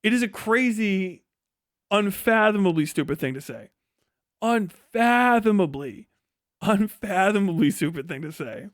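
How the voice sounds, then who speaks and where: A man's voice: high voice, expressive, measured pace, no room sound, clean studio sound. A man giving casual, ranting live-stream political commentary in a profane, scornful, emphatic way, often repeating phrases for emphasis.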